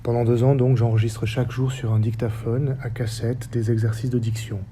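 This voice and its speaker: A man in his forties with a faint Basque accent speaks in a very dark, mellow tone that stays fairly flat in pitch. He gives a reflective talk in measured, paused phrases, with a faint hum of ambient noise behind him. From French Basque Country. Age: 40s. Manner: measured, list-like delivery with short paused phrases. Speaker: stage director and researcher. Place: theatre stage or hall.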